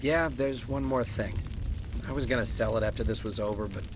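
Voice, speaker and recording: An actor playing a reluctant, shifty but decent colonist smuggler in a video game, casual and grudging. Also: male voice, mid-range voice, a little fast, noisy background, close to an everyday voice. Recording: music behind the voice.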